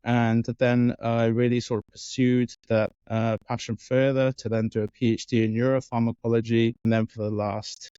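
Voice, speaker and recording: A man's voice: mid-range voice, little pitch movement, moderate pace, few pauses, mellow, smooth, no echo. A man in his forties from Wales, a neuroscientist answering interview questions thoughtfully, with many fillers and restarts. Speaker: guest neuroscientist. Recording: dull lo-fi audio.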